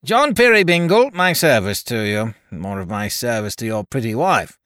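A man voicing a sly, flattering merchant in a read-aloud story. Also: mid-range voice, moderate pace, dry recording, clean studio sound, pitched above the performer's own voice.

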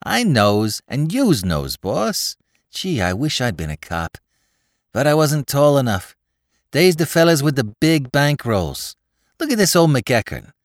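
A man voicing a cheeky, excitable young Bowery crook with a New York accent, talking in rapid slang. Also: medium pitch, dry recording, voice pushed up. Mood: excited.

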